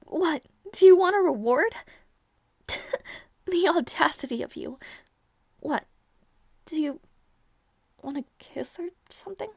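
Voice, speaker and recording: A woman voicing a proud young princess who teases, stammers when flustered and sometimes drops to a soft whisper, in an audio roleplay. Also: high-pitched, lively intonation, slow, gravelly, long pauses, no room sound, full character voice. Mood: teasing.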